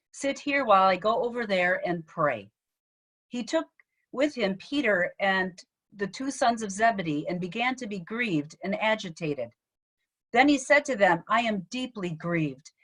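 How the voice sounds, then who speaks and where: A middle-aged woman pastor giving a measured, solemn dramatic scripture reading over a video call. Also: medium pitch, a bit bright. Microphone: video call microphone.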